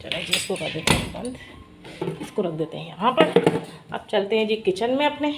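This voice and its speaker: A woman's voice: mid-range voice, sing-song, normal speed, heavy background noise. A woman vlogging at home in her kitchen, chatty and talking viewers through each step, with a sore throat. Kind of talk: casual cooking vlog narration.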